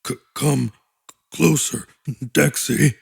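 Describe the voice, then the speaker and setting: Man voicing a gravelly, slurring wounded monster father. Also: medium pitch, steady tone, pitched below the performer's own voice, heavily acted. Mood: weak, urgent. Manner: halting, stammering through pain.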